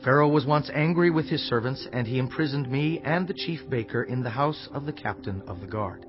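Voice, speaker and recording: Actor as a formal royal cupbearer over music. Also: male voice, mid-range voice, lo-fi sound, close to an everyday voice. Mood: contrite, recounting. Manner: deliberate storytelling, formal. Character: respectful royal cupbearer of ancient Egypt. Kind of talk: dramatized scripture acting.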